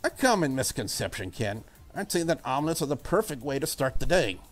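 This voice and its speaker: A man's voice: mid-range voice, very animated, a little fast, faint music behind, close to an everyday voice. A man voicing a confident, bossy young woman in a visual novel, talking in a blunt and breezy way. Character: brash, confident young woman, breakfast cook.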